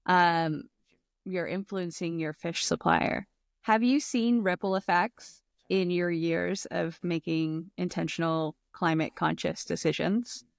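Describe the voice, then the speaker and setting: Woman host, encouraging interviewer, slightly low voice, dry. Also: steady tone, slow pace, vocal fry, dry recording. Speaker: podcast host. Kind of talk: interview questions.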